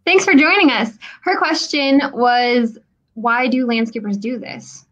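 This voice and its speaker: A woman's voice: fairly high pitch, animated, unhurried, a bit bright, dull audio. A woman hosting a live online Q&A, upbeat, reading out viewer questions and moving the talk along. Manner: upbeat, reads out viewer questions, laughs easily. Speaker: host, moderator.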